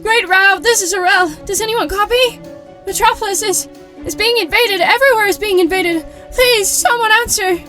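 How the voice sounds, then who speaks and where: Girl acting young superheroine, high voice, earnest n a bit anxious, informal, audio drama, faint music. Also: moderate pace, bright, forceful tone. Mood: worried urgency.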